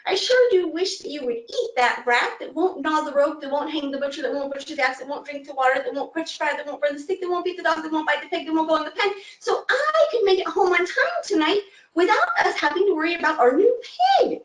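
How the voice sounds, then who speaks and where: A woman voicing an anxious little old woman in a folk tale, who talks faster the more worried she gets. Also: sits high, lively intonation, reverberant, far from the mic. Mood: pleading.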